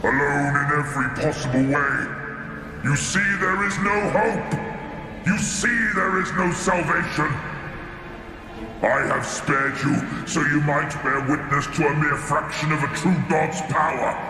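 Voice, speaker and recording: A man voicing a contemptuous demonic herald in an audio story, tempting with slow, ominous, grandiose proclamations through heavy reverb. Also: on the high side, pitched above the performer's own voice. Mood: menacing. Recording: cavernous reverb over loud music.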